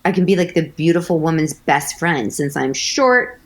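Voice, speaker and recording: A woman with a low voice, chatting animatedly as an actress guest in an interview, telling stories with lots of "like". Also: lively intonation, moderate pace. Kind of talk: casual interview storytelling.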